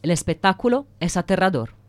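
A woman's voice: fairly low pitch, expressive, brisk, dry recording. A woman narrating an audio drama with scripted, dramatic storytelling.

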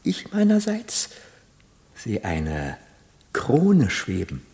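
Sneaky mocking courtier, radio drama, slow insinuating delivery, voice swings up and down. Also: male voice, mid-range voice, huge pitch swings, a little mellow, exaggerated voice. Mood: sly, conspiratorial. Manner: sly, insinuating, theatrical. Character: scheming, mocking courtier, insinuating male tempter. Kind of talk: German radio play acting.

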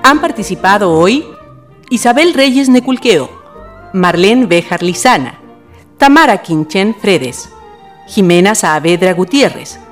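A Chilean woman reads scripted radio-play narration in a mid-range voice. Her intonation is lively and varied, with soft music underneath. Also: medium pitch.

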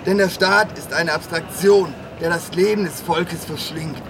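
A man's voice: fairly high pitch, fairly even intonation, a little slow. A man playing a fervent nineteenth-century anarchist revolutionary, giving solemn, slogan-filled oratory in an amateur radio play. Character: fervent 19th-century anarchist revolutionary rallying his comrade. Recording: noisy outdoor street ambience.

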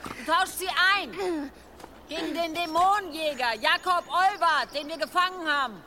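A woman's voice: extremely high pitch, normal speed, noisy background. An actress playing a tough, unflinching medieval woman negotiator, speaking curtly and firmly in a German historical audio drama. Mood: firm, bargaining. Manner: curt, firm, businesslike.

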